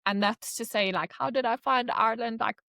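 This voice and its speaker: A woman's voice: on the high side, fairly quick, gravelly, pushed and bright, no echo, clean studio sound. A woman in her thirties with a South African accent, chatting casually as a podcast guest, rambling with lots of "like" fillers. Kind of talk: casual interview chat.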